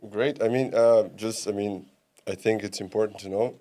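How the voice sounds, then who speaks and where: A man's voice: fairly low pitch, steady tone, moderate pace, mellow. Hesitant male co-host. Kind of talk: casual interview chat.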